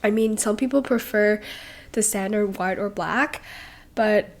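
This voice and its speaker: Young female podcast host, sick with a cold, loose and rambling, mid-pitched. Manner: rambling, many fillers and pauses. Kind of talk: casual solo podcast talk.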